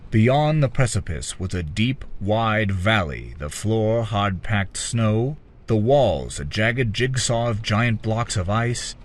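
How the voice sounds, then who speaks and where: A man with a fairly low voice narrates a dark fantasy tale. His delivery is scripted and dramatic, like an audiobook. He phrases things in a measured, theatrical way, drawing out his words.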